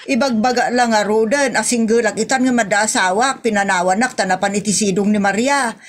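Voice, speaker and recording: A woman's voice: medium pitch, natural voice. Wanted: woman to play older Ilocano-accented village wife, chatty and aggrieved, complaining at length in radio-style comedy. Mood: plaintive, rambling. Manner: rambling, plaintive, drawn-out complaining. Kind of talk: Ilocano audio comedy drama.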